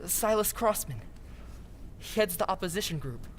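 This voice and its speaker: A woman's voice: mid-range voice, animated, moderate pace, slight background noise, natural voice. A woman playing a nervous, polite young man in an old-time radio drama, speaking with hesitant deference. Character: Edward Darrow, nervous, polite young male civic reformer.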